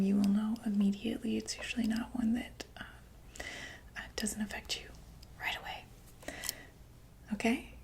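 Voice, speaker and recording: A woman whispering softly and breathily in a gentle, reassuring ASMR medical roleplay, speaking close to the microphone. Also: mid-range voice, creaky. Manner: gentle, reassuring, hesitant check-up patter. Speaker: ASMR roleplayer playing a doctor for monsters.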